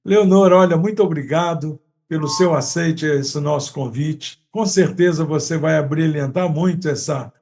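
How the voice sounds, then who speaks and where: A man's voice: on the high side, moderate pace, few pauses, darkish tone. A man with a Brazilian accent hosting an interview podcast in an effusive, courteous way. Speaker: podcast host. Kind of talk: chatty interview.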